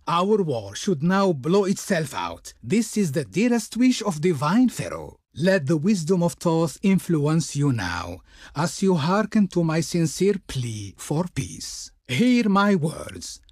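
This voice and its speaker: An actor playing a haughty, pompous envoy of an ancient Egyptian Pharaoh in a strategy video game, declaiming in ornate, theatrical phrases. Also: male voice, on the high side, sing-song, takes it slowly, a little mellow, slightly rough, no echo, clean studio sound, heavily acted. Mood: earnest pleading. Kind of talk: theatrical historical strategy game diplomacy lines.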